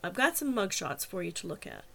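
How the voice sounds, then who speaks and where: A woman's voice: on the low side, huge pitch swings, a little fast, vocal fry, fairly bright tone, full character voice. A woman voicing a persistent, teasing male police officer in an audiobook, in a coaxing, persuasive manner. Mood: earnest. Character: persistent, teasing male cop, cajoling and reasonable. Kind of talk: audiobook character dialogue.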